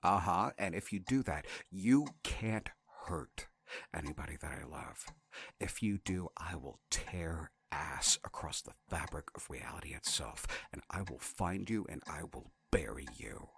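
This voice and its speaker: A man playing a sardonic, foul-mouthed, fiercely protective wanderer from another reality in an audio drama, halting and muttering. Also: rumbling low, expressive, creaky, a bit bright, husky, exaggerated voice. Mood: menacing.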